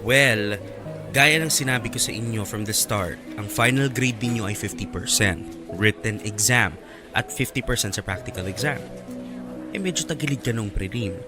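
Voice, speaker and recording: A male actor as a Filipino college professor in a radio drama. His voice sits fairly low, and he is measured and frank but encouraging, with music clearly behind him. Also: natural voice. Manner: measured, mixes English and Tagalog.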